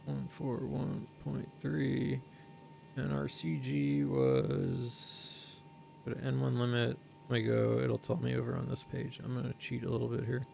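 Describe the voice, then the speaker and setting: A man's voice: mid-range voice, gravelly, darkish tone, slight background noise. A man streaming a flight sim, explaining each step in casual commentary while thinking aloud and reading out numbers carefully. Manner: reads out numbers slowly and carefully.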